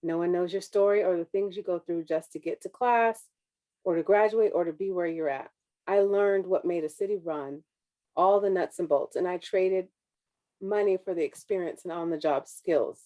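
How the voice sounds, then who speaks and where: Thirties councilwoman, sincere and encouraging, low warm tone, speaking over a video call. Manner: earnest, reflective, encouraging. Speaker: city councilwoman, panel speaker. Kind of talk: personal speech at an online forum.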